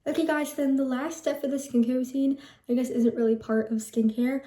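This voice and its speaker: A woman's voice: sits high, fairly even intonation, a little fast, dark tone, roomy sound. A young woman in her teens giving a casual skincare routine vlog, chatty and rambling, often correcting herself. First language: Telugu heritage speaker, English first language. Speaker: vlogger.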